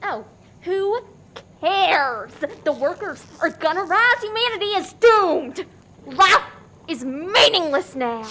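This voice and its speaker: A very high-voiced, wildly swooping doomsayer played by a woman in a farce radio play. She is melodramatic and morbid, sunk in bleak despair. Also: big pitch swings, takes it slowly, pushed and bright, a little hiss or hum, exaggerated voice. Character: despairing, doom-laden young woman named Joy, bleakly nihilistic. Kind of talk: stage-style farce radio play acting.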